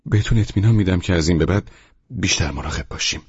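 A man's voice: deep voice, breathy edge. A man voicing a flustered, polite yet stubborn tenant in an audiobook, formal and defensive. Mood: flustered apology. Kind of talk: audiobook character voice. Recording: dull, muffled, echoing room recording.

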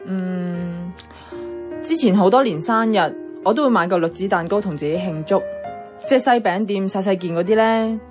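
A woman's voice: medium pitch, normal speed, natural voice. An actress playing a blunt, bossy young career woman in a radio drama, with a colloquial Hong Kong Cantonese way of speaking. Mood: reflective, unsure. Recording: clear music playing behind the voice.

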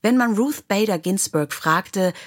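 A woman's voice: medium pitch, expressive, a little slow. A woman reading scripted biographical stories as an audiobook narrator.

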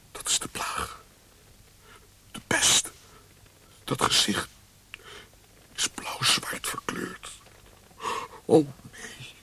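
A man's voice: mid-range voice, pushed and bright, slightly rough, heavily acted. A man acting an impassioned, guilt-ridden young poet in a radio drama, speaking theatrically. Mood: horrified. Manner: theatrical, talking to himself.

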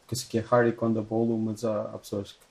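Mellow-voiced Portuguese man, rambling. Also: lowish voice, completely flat delivery, fast, creaky. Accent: European Portuguese. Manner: rambling, self-correcting explanations. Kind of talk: casual chat.

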